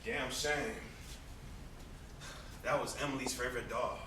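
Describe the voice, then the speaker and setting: A man's voice: medium pitch, fairly even intonation, a little slow, close to an everyday voice. A man acting on stage as a teasing but protective older brother, with a sarcastic, needling, theatrical delivery. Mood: gentle. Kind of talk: amateur stage play acting. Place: indoor stage, recorded from afar. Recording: echoey stage, distant noisy camera microphone.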